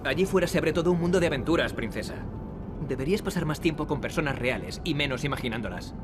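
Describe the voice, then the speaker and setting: A man's voice: fairly high pitch, expressive, fast talker, very noisy, close to an everyday voice. A man with a Castilian Spanish accent playing a cocky, sarcastic young adventurer in a video game, wry and teasing. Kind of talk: video game acting, European Spanish dub. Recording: loud music behind the dialogue. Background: loud game music.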